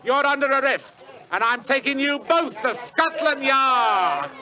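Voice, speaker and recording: A stubborn London constable from a 1940s radio play. He barks in a very high, strained pitch with an English accent and officious air. A crowd murmurs behind him. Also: animated, unhurried, low-quality recording. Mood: officious, accusing. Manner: loud, bossy, barking.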